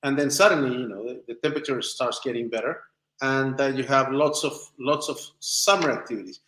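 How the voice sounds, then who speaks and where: A man's voice: medium pitch, brisk, fairly bright tone. Echoey man, searching for words. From Chile and southern Spain. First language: Spanish. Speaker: interview guest, documentary maker. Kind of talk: informal conversational interview answers. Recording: roomy, echoing sound.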